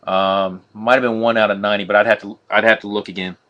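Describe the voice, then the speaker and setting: Monotone man hosting a live card break. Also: lowish voice, quick pace, highs cut off. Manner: monotone, says so himself; chatty, addresses viewers as guys. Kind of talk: casual live-stream commentary.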